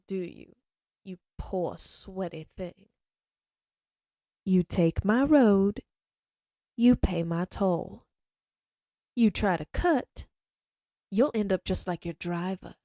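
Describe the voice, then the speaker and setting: A woman playing a slow-talking menacing outlaw leader. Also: mid-range voice, mellow, no echo, studio quality. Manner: slow, taunting drawl. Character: menacing female outlaw gang leader, mocking. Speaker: voice actress. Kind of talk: audio roleplay acting.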